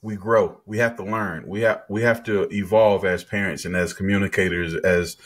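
A man's voice: low voice, steady tone, normal speed, husky. A man hosting a podcast over a video call, laid-back and teasing, with lots of agreeing. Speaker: podcast host. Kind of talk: casual podcast conversation. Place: home studio, indoors. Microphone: headset on video call.

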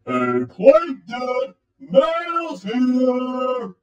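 Man acting animatronic bear showman, male, cheerful, very slow, animated intonation, theatrical cartoon mascot. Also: extremely high pitch, echoey room, dull audio, full character voice. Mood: upbeat showman. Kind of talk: fan voice acting for animated movie.

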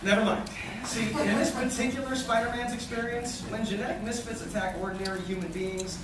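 An actor playing a flustered, quippy teenage superhero with a stammering delivery in a live radio play. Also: male voice, very high voice, steady tone, fairly quick. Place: large hotel conference room with seated audience. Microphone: distant audience camera. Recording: echoey noisy room, recorded from far off.

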